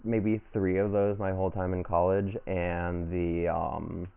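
A man's voice: deep voice, flat delivery, a little slow, vocal fry, smooth. A man in his twenties from Ohio, a comedian guest chatting easily on a podcast, full of fillers and repeated yeahs. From Ohio, USA. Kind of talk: casual podcast chat. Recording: tinny phone-like audio.

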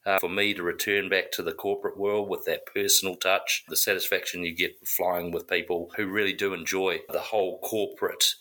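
A man's voice: sits low, flat delivery, fairly quick, hardly any pauses, pushed and bright. A man from New Zealand who grew up in South Africa, a corporate pilot answering interview questions conversationally. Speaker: corporate pilot, podcast guest.

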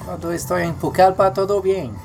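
A man, a teacher talking as a guest, halting and repeating words. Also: high-pitched, steady tone, noisy background. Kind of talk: casual chat in a learned language. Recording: music playing in the background.

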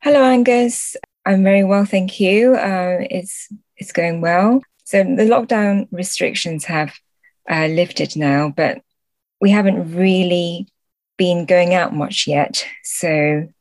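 A woman with a slightly low voice talks as a podcast guest in a casual interview. She speaks slowly and politely, in measured phrases. The sound is close and dry, with no room echo. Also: darkish tone.

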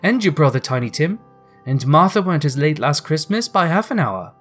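A man's voice: on the high side, big pitch swings, brisk, a bit bright, soft background music. A man plays a homely storybook mother of a poor household. She fusses affectionately and bustles along, in a slightly raised voice. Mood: impatient, bustling. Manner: fussing, homely. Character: bustling, affectionate working-class mother, Missus Cratchit.

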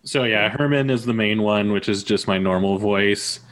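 A man's voice: on the low side, normal speed, a bit bright. A man, a podcast voice actor, talking casually with friends. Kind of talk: casual chat.

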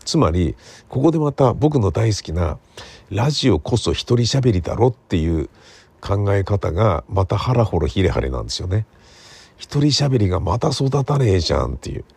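A man's voice: on the low side, big pitch swings, normal speed, gravelly, a little mellow, slightly rough, dry recording. A man in his sixties talking casually on his own as a podcast host, musing and rambling in a colloquial way. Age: 60s. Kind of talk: casual solo free talk.

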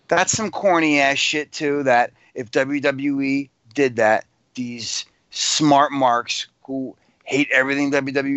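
A man's voice: medium pitch, a bit bright, dry recording. A man co-hosting a podcast, giving blunt, opinionated takes with casual swearing. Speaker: podcast co-host. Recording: dull lo-fi podcast audio.

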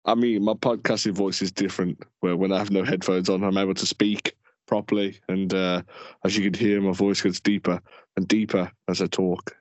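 A young man co-hosting a podcast in casual chat, relaxed and hesitant, repeating words and filling pauses. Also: low-pitched, no echo. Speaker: podcast co-host. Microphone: home mic without headphones.

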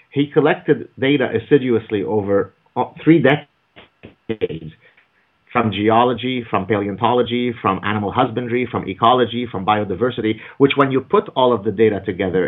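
A man lecturing with emphatic, argumentative force, his voice rising in pitch for stress. He sits on the low side and comes through a thin, telephone-like line. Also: moderate pace. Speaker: author and guest. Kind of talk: podcast interview, lecturing. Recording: phone-like narrow audio.

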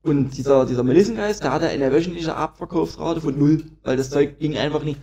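A man's voice: fairly high pitch, fairly quick, mellow, highs cut off. A young man co-hosting a casual podcast chat, swearing often and laughing a lot. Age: young adult. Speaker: podcast co-host.

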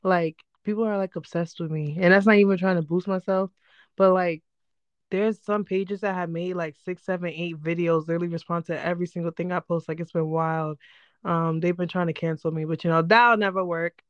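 A young woman with a slightly hoarse but smooth voice, recorded close and dry with a slightly muffled, dull tone. She chats casually and quickly, playful and a little defensive, like she's arguing with her brother. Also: lowish voice, fairly even intonation, no echo. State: under the weather, slightly hoarse. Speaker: podcast co-host. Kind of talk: casual sibling podcast chat. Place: apart from her co-host, remote recording.

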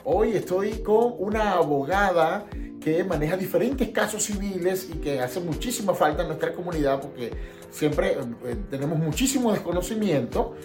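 A woman's voice: lowish voice, lively intonation, normal speed. A woman hosting a podcast, interviewing her guest in a conversational way. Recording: echoey room with background music.

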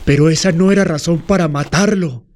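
An actor playing a reproachful grown son in a radio drama, insistent and accusing. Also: male voice, a little high, a little fast, darkish tone, slight background noise, natural voice. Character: reproachful grown son confronting his father. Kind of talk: dramatic dialogue, radio play acting.

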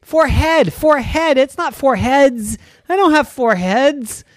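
Whiny little brother in a bedtime story, high and indignant, put on by the storyteller, close dry sound. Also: lively intonation, a little slow, no room sound. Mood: protesting.

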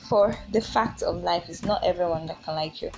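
A woman in her twenties with a Nigerian accent, talking informally as a solo podcast host in a chatty, halting, repetitive way. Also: on the low side, creaky, over music, rough recording. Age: 20s.

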